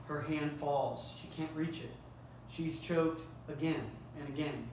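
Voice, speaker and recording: A man directing a rehearsal, reading screenplay action lines with deliberate, dramatic phrasing and coaching the actors. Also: a little high. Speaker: director running a table read. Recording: echoey room, distant mic, faint noise.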